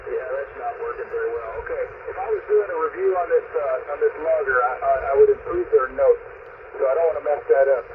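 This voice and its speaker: A thin, phone-like radio transmission with clear static carries an American man's voice. He is a ham operator speaking courteously and chattily, working through his contacts. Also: mid-range voice, fast. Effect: two-way radio. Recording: narrow, static-laden shortwave radio transmission.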